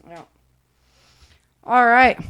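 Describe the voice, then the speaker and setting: Higher-voiced woman hosting a casual chat. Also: drawn-out words, a bit bright, clean tone, no echo, dull audio. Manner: chuckling, informal. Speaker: host of a performer group chat.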